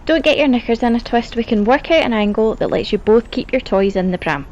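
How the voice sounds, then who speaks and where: An actress with a Scottish accent playing a crude, mocking gangster's wife. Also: female voice, a little high, expressive, fairly quick, a little mellow, muffled recording, lightly acted. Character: vicious, sharp-tongued Scottish gangster wife.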